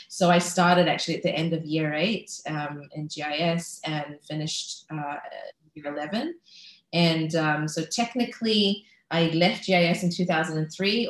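A woman's voice: deep voice, roomy sound, low-quality recording. A pregnant woman in her thirties, from Malaysia and raised in New Zealand, giving a chatty talk as a guest speaker. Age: 30s. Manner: chatty, many ums and restarts. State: heavily pregnant. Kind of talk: casual talk on a video call.

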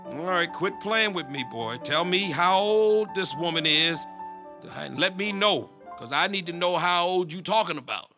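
A man's voice: high-pitched, expressive, unhurried, pushed and bright, faint music behind, exaggerated voice. An actor playing a stern, easily riled middle-aged pastor and father who scolds in an exasperated, blustering way.

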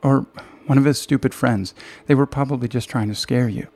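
A man's voice: medium pitch, fairly even intonation, fairly quick, darkish tone, no echo, lightly acted. Man voicing a worried, insistent college-age daughter. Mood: dismissive, reassuring. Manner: firm, urging, direct questioning. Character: worried, insistent young woman, a college student.

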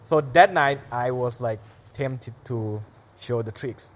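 Male comedian, Thai accent, middle pitch, rambling storyteller. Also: takes it slowly. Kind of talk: casual storytelling on a podcast.

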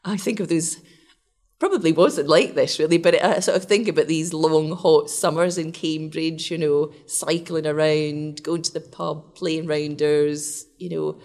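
A middle-aged woman from the west of Scotland with a Scottish accent, telling stories in a relaxed, animated way as an interview guest. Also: low-pitched, a little slow. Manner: chatty, animated, laughs often. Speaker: interview guest, research scientist. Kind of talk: casual storytelling interview.

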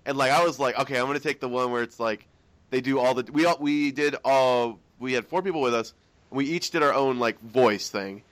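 A young man in casual chat, excited and rambling, swearing freely. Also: mid-range voice, fairly quick, no room sound.

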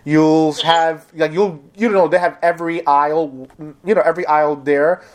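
A woman's voice: deep voice, fairly even intonation, normal speed. A woman hosting a casual interview, talking candidly and bluntly and swearing freely. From big city. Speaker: host. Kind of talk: casual conversation.

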